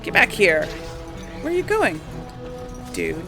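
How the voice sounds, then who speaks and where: A woman's voice: fairly low pitch, wildly swooping pitch, slow, creaky. A woman giving casual, chatty gameplay commentary, thinking aloud to her audience as she plays. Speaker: let's player. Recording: voice over game music.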